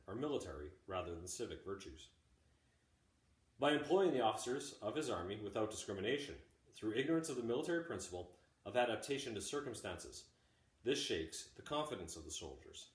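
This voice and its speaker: A man reading a classic text aloud like a teacher, in measured, deliberate phrases. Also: on the low side, very animated. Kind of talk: audiobook-style read-aloud of a classic text. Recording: roomy, echoey home recording.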